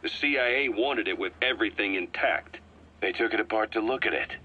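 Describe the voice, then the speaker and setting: An actor playing an embittered, vengeful military commander in a video game, speaking in a clipped, stern, forceful way. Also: male voice, lowish voice, fairly quick, narrow, tinny audio, lightly acted. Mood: businesslike, intense. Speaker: military commander briefing his boss. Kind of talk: acted radio-style briefing in a video game. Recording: band-limited radio tape, faint noise.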